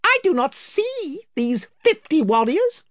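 A man's voice: sing-song, slow pace, a bit bright, no room sound, studio quality, heavily acted. A man voicing a proud, valiant little male mouse knight who speaks in a bold, formal manner. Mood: indignant. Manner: bold, formal, high-flown. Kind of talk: audiobook character voice.

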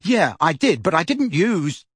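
A man's voice: medium pitch, very animated, unhurried, a little mellow, slightly rough, no room sound, clean studio sound. A man voicing a defiant teenage boy with a rising temper in an audiobook, insistent and emphatic.

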